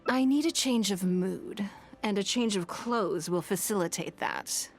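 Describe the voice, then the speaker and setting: An actress playing an elegant, shrewd and wealthy businesswoman in a video game, speaking in a composed, measured, refined and gracious way. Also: medium pitch, animated. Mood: gracious, lightly teasing. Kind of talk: scripted video game character dialogue. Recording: music behind the voice.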